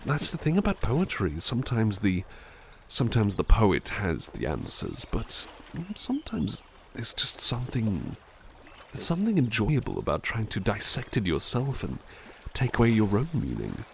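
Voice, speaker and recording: A man voicing a kind-hearted, caring butler, reading poems softly and musing gently between them in a close, intimate roleplay. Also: lowish voice, huge pitch swings, moderate pace, gravelly, slight background noise, heavily acted. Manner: soft-spoken, gentle, reflective asides. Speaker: ASMR roleplay performer. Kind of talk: ASMR roleplay poetry reading.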